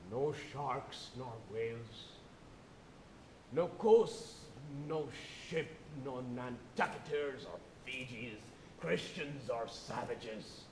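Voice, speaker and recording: Very slow, proud dying harpooner played by a man. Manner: halting, weighty, declamatory. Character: proud, dying male pagan harpooner, defiant and visionary. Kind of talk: stage drama acting. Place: theatre stage in an auditorium. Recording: echoey live auditorium, faint noise, rumble.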